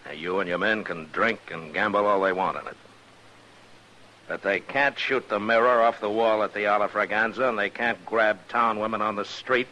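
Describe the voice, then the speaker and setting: An actor playing a firm, weary US Marshal in an old-time radio western, plain-spoken and measured. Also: male voice, on the low side, bright, forceful tone, rough recording. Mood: firm, unyielding. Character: firm, weary frontier US Marshal, steady and unflinching. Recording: hissy old radio recording.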